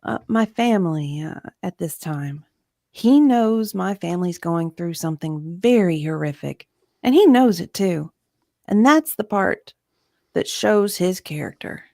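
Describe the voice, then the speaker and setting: A woman's voice: on the low side, animated, a little slow, vocal fry, dark tone, no room sound, studio quality. A woman reading the part of an aggrieved, intimidated journalist giving hesitant testimony as a witness in a deposition. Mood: hurt. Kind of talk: dramatic reading of a legal deposition transcript.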